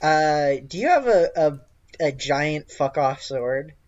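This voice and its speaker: A man's voice: a little high, lively intonation, takes it slowly, dull audio. A man running a tabletop game as game master, improvising narration in a casual, hesitant way.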